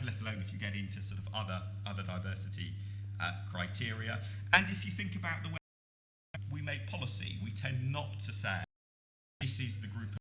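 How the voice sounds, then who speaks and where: A man's voice: lowish voice, fairly bright tone. A man speaking on a conference panel in a casual, hedging way. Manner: conversational, hedging with fillers. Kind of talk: conference talk. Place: conference room. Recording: echoey room with faint hum.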